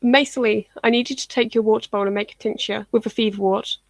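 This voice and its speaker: A woman playing a brisk, firm healer in an audio drama, urgent yet reassuring. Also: on the high side, steady tone, creaky, no echo. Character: brisk, commanding female healer tending the wounded.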